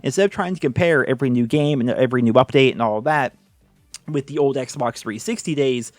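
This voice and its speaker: A man's voice: mid-range voice, a little fast, no room sound, soft background music. A young man chatting casually as a gaming YouTuber, rambling and candid with lots of "you know" and "right". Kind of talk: casual commentary video.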